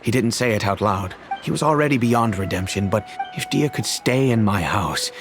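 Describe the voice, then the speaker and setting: Low-voiced, courteous gentleman father played by a man. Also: animated, lightly acted. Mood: sombre, recounting. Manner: formal, deliberate. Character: measured, courteous wealthy father and master of the house. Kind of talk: video game voice acting. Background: music.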